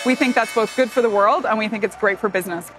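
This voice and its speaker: Fast-talking woman spokesperson over music. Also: fairly high pitch. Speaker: company spokesperson.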